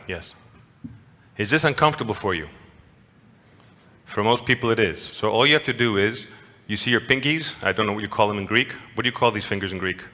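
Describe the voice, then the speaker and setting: A man's voice: fairly low pitch, sharp tone. Playful man lecturing in a reverberant hall. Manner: playful, engaging, asks the audience questions. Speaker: conference lecturer. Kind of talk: interactive lecture to an audience.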